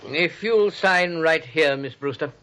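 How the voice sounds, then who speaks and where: A man's voice: fairly high pitch, expressive, measured pace, sharp tone, exaggerated voice. A man playing a prim, apologetic superintendent in 1940s radio comedy, hesitant and formally polite. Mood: polite, officious. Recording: dull vintage radio recording.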